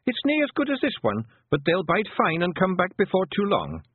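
Boisterous Scottish pixie chief, squeaky and loud, voiced by a man, tinny storybook sound. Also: wildly swooping pitch, normal speed, slightly rough, no room sound, heavily acted. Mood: cheerful. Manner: cheerful, boisterous. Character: cheerful tiny male pixie warrior chief.

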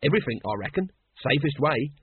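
A man's voice: a little high, animated, fast, husky, dry recording, phone-quality sound. Man as a cheeky, offhand watchman. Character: cheeky, slouching male low-ranking watchman.

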